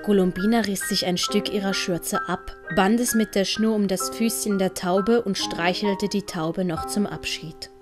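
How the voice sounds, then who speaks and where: A woman's voice: mid-range voice, steady tone, unhurried, few pauses. A woman with a Swiss accent reading scripted audiobook narration as the storyteller. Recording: background music.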